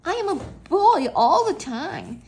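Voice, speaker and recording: Woman as young schoolboy, storybook character, cheeky, earnest, protesting, raised pitch, very animated. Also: a little slow, exaggerated voice.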